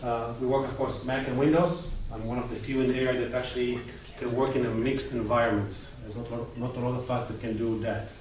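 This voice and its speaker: A man's voice: medium pitch, steady tone, quick pace, hardly any pauses. A man with an Israeli accent giving an informal, joking talk as a guest speaker at a coworking space. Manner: informal and joking, short chatty asides. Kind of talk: casual presentation to a small audience. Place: coworking space event room. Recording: echoey room, mic at a distance.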